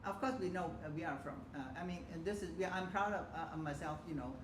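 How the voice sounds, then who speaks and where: Male panelist, hesitant and rambling, recorded in a noisy echoey hall. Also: a little high. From Hong Kong. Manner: halting, many fillers and restarts. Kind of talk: forum panel discussion. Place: community forum hall. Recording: echoey room with loud background noise.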